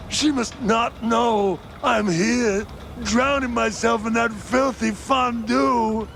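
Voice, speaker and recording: A man's voice: very high-pitched, extremely slow, creaky, a bit bright, a little hiss or hum, exaggerated voice. A man voicing a self-loathing drunkard husband in a cartoon game, hesitant and maudlin as he pleads.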